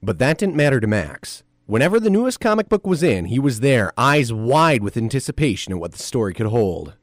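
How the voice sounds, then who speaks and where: A man's voice: mid-range voice, very animated, fairly quick, no echo, studio quality. A man narrating a scripted audio drama like a storybook, in a theatrical, playful manner.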